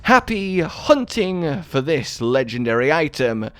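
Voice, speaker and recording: A man's voice: fairly high pitch, sing-song, slow, fairly bright tone. A man giving an enthusiastic video game guide voice-over in a chatty, wordy, playfully dramatic way.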